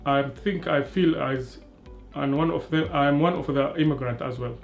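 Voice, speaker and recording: A man's voice: a little high, steady tone, normal speed, creaky, faint music behind. A man from Somalia, answering an interview in simple, direct statements. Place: indoor room.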